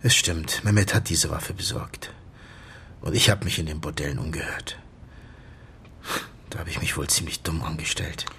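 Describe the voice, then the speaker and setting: An actor playing a grieving doctor in a radio drama, confessing in a halting, subdued voice that often sinks toward a whisper. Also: male voice, very deep voice, vocal fry, bright, forceful tone, exaggerated voice. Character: grieving male doctor confessing to his friend's staged death. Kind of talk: German radio drama acting.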